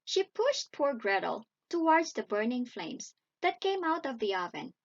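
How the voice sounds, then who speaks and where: Expressive, slow-paced woman storyteller. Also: fairly high pitch, lo-fi sound. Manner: dramatic, measured. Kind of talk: expressive read-aloud bedtime story narration.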